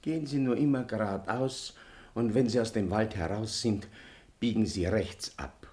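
A man plays a simple, obliging stranger of the woods in classic German radio drama. His voice is on the low side. He talks in a matter-of-fact, cautioning way. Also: moderate pace, darkish tone, lightly acted. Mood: helpful, cautioning. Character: plain-spoken, helpful stranger met in the forest. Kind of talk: 1950s German radio play acting.

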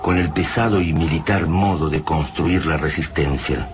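A man's voice: extremely low pitch, moderate pace, noisy background. A man narrating a radio drama in a grave, somber tone.

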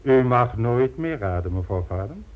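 Radio drama villain, played by a man: suave, ruthless, condescending businessman of crime, animated delivery, muffled lo-fi recording. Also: medium pitch, moderate pace, close to an everyday voice. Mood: smug, businesslike. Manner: smug, polished, coolly condescending. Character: suave, ruthless male criminal mastermind running a business. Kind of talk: Dutch radio play acting. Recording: dull lo-fi old radio recording.

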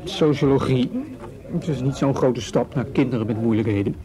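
An actor playing a young male police officer in a radio drama, calm and hesitant with a mild irony. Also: mid-range voice, moderate pace, crowd noise, lightly acted, low-quality recording.

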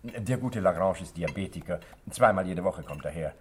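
An actor playing a jovial, chatty middle-aged doctor at a dinner in a radio drama, with a husky voice. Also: male voice, low voice, animated, normal speed. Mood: chatty, curious. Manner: convivial, chatty. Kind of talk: German radio drama acting.

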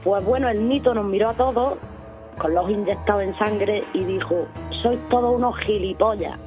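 A performer playing a band member who retells a backstage fight in a gossipy, animated way. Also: mid-range voice, moderate pace, faint music behind, lightly acted. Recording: dull lo-fi sound.

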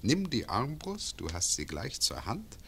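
A cruel, imperious bailiff in an old radio drama, who taunts his victims in a slow, gravelly, bright voice. His pitch sweeps widely as he toys with them. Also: huge pitch swings, a little hiss or hum, exaggerated voice. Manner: cold, mocking, imperious. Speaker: actor in radio play. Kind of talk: classic radio drama acting.

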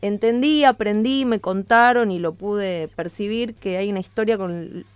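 An Argentine woman giving a conversational radio interview as a musician, explaining things in a reflective, halting way. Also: mid-range voice, clean tone, dry recording. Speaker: interviewed hip-hop musician.

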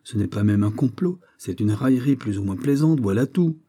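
Male reader as an imperious king, wounded pride, biting sarcasm, dark husky tone, period novel. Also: mid-range voice, animated, brisk. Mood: cold, dismissive. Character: proud, wounded king, bitterly mocking and imperious.

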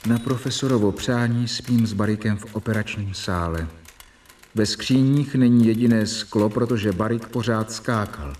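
An actor playing an earnest young doctor in a radio drama, explaining and keeping a diary. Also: male voice, fairly low pitch, a little mellow, a little hiss or hum, natural voice. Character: earnest young male doctor, diligent and eager. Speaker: doctor, professor's assistant.

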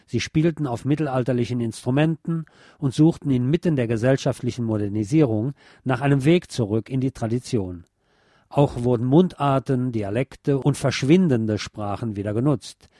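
A man reading scripted documentary narration in a measured, essayistic way. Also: mid-range voice, warm tone, no echo, clean studio sound.

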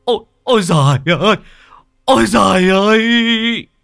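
A man's voice: lively intonation, very slow, clean tone, full character voice. A man voicing a frail old man who cries out in a fever. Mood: anguished. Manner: high strained wailing.

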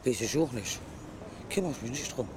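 A man's voice: mid-range voice, lively intonation, a little fast. A man giving casual answers as a passer-by in an outdoor street interview. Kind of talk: casual vox-pop answers. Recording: noisy outdoor street recording.

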